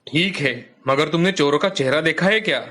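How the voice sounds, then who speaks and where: A man playing a brisk police officer in a children's animated story, with an official, matter-of-fact manner. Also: a little high, natural voice. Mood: businesslike.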